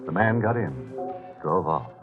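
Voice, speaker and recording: An actor playing a ghoulish, mock-friendly horror host in 1940s radio style, drawing out his words with theatrical, macabre relish. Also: sits low. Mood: grim, suspenseful. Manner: theatrical, drawn-out, macabre deadpan humor. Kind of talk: 1940s radio horror hosting and scripted narration. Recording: tinny old-time radio recording with music.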